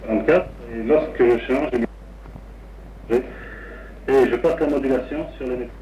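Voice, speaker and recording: A man, a radio amateur, chatting on air about his equipment with many pauses and hesitations. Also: mid-range voice, mellow. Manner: rambling, technical, many hesitations. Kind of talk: casual on-air radio chat. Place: home radio station. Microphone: crystal broadcast-style microphone about a metre away. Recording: roomy, distant, dull 1960s AM radio recording.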